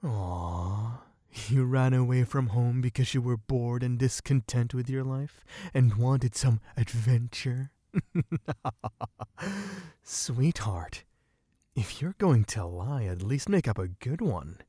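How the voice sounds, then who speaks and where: A man playing a sadistic, possessive vampire in an ASMR roleplay, speaking in a taunting, condescending, deliberate way. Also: medium pitch, no room sound, clean studio sound. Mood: mocking, probing. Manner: taunting, condescending, slow and deliberate with soft chuckles. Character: sadistic, possessive male vampire toying with human captive.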